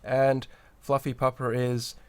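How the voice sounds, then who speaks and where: A man's voice: medium pitch, little pitch movement, takes it slowly, clean tone. A man hosting a livestream with a cold and sore throat, sounding nasal as he chats casually, greets viewers and reads out the chat while he builds a kit. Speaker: livestream host.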